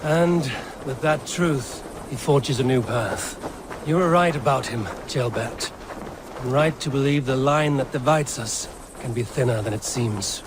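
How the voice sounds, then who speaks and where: An actor playing a seasoned Viking warrior who speaks in a measured, steady way. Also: male voice, medium pitch, background noise, natural voice. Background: music.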